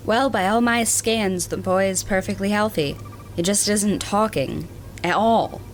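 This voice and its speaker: A woman playing a matter-of-fact starship doctor in a science fiction audio drama, explaining things in a measured, clinical way. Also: medium pitch, faint music behind, natural voice, poor audio.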